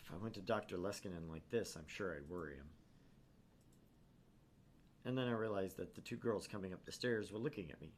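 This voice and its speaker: A man voicing a haunted young male student who narrates his anguish in a brooding, measured way in a visual novel. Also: low voice, natural voice. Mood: weary. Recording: clear background noise.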